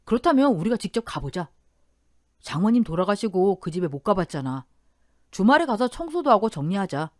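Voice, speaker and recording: A woman's voice: medium pitch, big pitch swings, brisk, dry recording, clean studio sound, lightly acted. A woman playing a practical, agreeable young husband and father in radio story dialogue.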